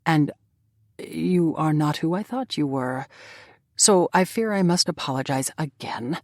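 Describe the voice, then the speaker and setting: A woman voicing a polite young male gentleman who speaks courteously and sounds a little flustered. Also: low-pitched, expressive, measured pace, dry recording, clean studio sound, full character voice.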